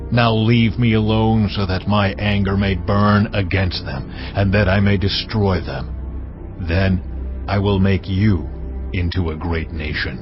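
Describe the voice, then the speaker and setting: An actor voicing the Lord as a stern, wrathful deity who speaks in a solemn, measured way in a dramatized scripture reading. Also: male voice, sits low, steady tone, music in the background. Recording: dull lo-fi audio with loud background score.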